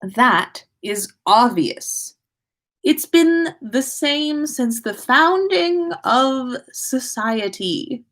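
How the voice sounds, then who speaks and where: Woman playing a pompous, slow-spoken aristocratic schoolboy. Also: high-pitched, lively intonation, a bit bright, voice pushed up, heavily acted. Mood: haughty disdain. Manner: formal, haughty, measured delivery. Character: prim, pompous, indignant teenage aristocratic schoolboy. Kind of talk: storybook character reading.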